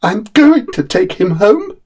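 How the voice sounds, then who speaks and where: A small, spoiled boy with a very high, childish voice insists stubbornly and sounds close to tears. The voice is thin and slightly husky, and it swoops about as he pleads. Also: big pitch swings, a little slow, darkish tone, exaggerated voice. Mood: insistent.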